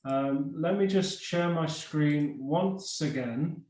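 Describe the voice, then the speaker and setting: A man's voice: a little high, slow pace, darkish tone. A man hosting an interview over a video call, easygoing with frequent fillers. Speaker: host. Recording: roomy video call audio.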